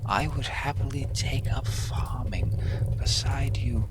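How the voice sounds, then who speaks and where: Slow, whispering lovestruck villain, acted out by a performer. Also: medium pitch, over loud music, natural voice. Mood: soft, yearning. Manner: theatrical, slow, breathy, pleading declarations. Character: centuries-old lovestruck villain, grandiose and devoted. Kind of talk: romantic villain audio roleplay monologue.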